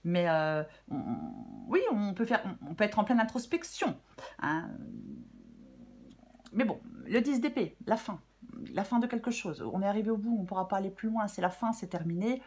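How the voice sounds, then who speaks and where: A woman with a French accent, slightly hoarse, giving a casual tarot reading to viewers and thinking aloud with many fillers and restarts. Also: medium pitch, very animated, normal speed, darkish tone. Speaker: tarot reader.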